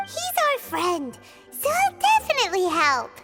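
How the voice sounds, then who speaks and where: Tiny childlike fairy sidekick, very high pitch, animated, cutesy, video game character. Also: female voice, measured pace, loud music behind the voice, exaggerated voice. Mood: confident. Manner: cutesy, refers to self by name.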